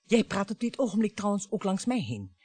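An actress playing a cool, cutting French bourgeois wife and regretful mother in a radio play, with pointed, deliberate phrasing. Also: mid-range voice, sing-song, a little mellow, breathy edge, dry recording.

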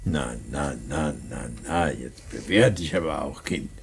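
A man's voice: a bass voice, a little slow, vocal fry, slight background noise, exaggerated voice. An actor playing a solemn, tender old father in mourning in a radio play, ponderous and philosophical, repeating his words. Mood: tender, firm. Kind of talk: German radio play acting.

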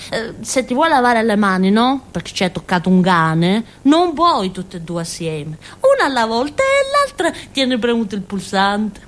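Childlike village simpleton, theatre monologue; southern Italian accent, highish pitch, very animated melody, chatty and rambling. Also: female voice, normal speed, hardly any pauses. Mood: explaining, childlike. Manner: rambling, giggly, with frequent interjections and tag questions. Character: childlike, chatty, naive village fool woman in Calabria.

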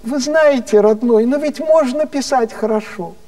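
Female government minister played by a male storyteller, high-pitched, earnest, coaxing, emphatic, slightly off-mic. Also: measured pace, mellow, recorded from a distance. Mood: coaxing, bossy. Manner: pleading, emphatic, endearing address. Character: sentimental, earnest female Soviet government minister, motherly and bossy.

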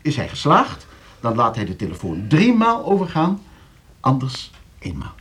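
An actor in a radio play playing a smug, cold-blooded schemer who explains things calmly and tauntingly to friends. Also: male voice, medium pitch, normal speed. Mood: coolly explaining.

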